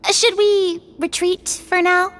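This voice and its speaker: A woman's voice: extremely high pitch, flat delivery, slow, fairly bright tone, long reverb tail, exaggerated voice. A woman voicing a timid, polite young girl in a video game, speaking hesitantly with gasps and sighs. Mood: uneasy. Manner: hesitant, gasping and sighing.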